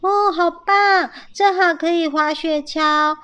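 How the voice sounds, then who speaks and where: A woman voicing excited, eager, playful little squirrel children in a picture-book read-aloud. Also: high-pitched, takes it slowly, pitched above the performer's own voice, full character voice. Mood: delighted.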